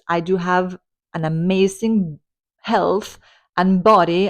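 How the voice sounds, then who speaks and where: A woman in her forties talking earnestly and upbeat in a personal spiritual vlog, now and then laughing lightly. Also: fairly low pitch. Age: 40s. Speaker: spiritual self-development vlogger.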